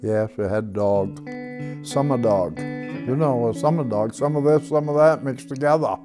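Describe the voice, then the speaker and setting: A man's voice: medium pitch, unhurried. A man, recalling his life in a terse, plainspoken way. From Maine, USA. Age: 80s. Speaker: grandparent being interviewed. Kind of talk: casual personal reminiscence. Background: loud acoustic guitar music.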